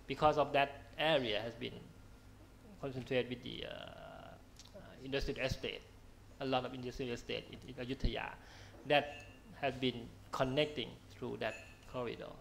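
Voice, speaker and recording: A man speaking on a discussion panel who hesitates and restarts often as he answers. His voice has a gravelly edge. A faint hum of noise sits behind him. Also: medium pitch, rough recording. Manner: hesitant, many fillers and restarts.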